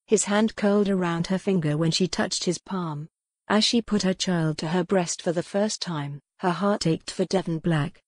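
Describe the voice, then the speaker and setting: Husky woman narrating fiction. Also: on the low side, moderate pace, a little mellow, no room sound, studio quality. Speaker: audiobook narrator. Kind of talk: scripted dramatic fiction narration.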